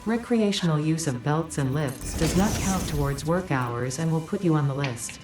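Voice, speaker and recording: Cold corporate AI. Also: female voice, low voice, normal speed, music in the background. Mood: stern. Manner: flat, clipped announcements. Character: female corporate AI assistant, cold and strict. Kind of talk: in-game system announcement. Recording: roomy, distant in-game audio.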